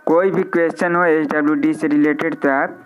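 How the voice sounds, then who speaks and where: A man's voice: fairly high pitch, fairly even intonation, moderate pace, smooth, soft background music. A man with an Indian accent giving an informal live online lecture, repeating his phrases and mixing in English technical terms. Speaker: physiotherapy lecturer hosting a live online class. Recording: slightly dull, band-limited audio.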